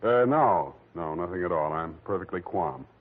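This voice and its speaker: An actor playing a wry, weary hard-boiled private detective with a husky voice and deadpan delivery, in 1940s radio style. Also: male voice, low-pitched, moderate pace. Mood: dry, uneasy. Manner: deadpan, sardonic. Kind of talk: 1940s radio detective acting, first-person narration. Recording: muffled, narrow-band 1940s radio recording.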